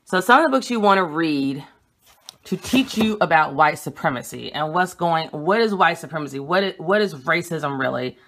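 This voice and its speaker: A young woman vlogging at home with a phone camera, talking in a blunt, emphatic and quick way as she shows books. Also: low-pitched, expressive, a bit bright. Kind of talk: casual home vlog showing books. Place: small room at home, indoors. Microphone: phone camera held at arm's length.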